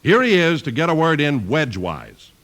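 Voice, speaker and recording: A man's voice: fairly high pitch, very animated, normal speed, a bit bright. A man announcing formally and emphatically from a script on 1950s radio. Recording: vintage 1950s radio recording.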